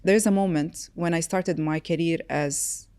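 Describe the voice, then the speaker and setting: A woman in her forties, a chef, talking as a podcast guest in quick animated bursts and laughing easily. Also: deep voice. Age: 40s. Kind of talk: casual interview chat.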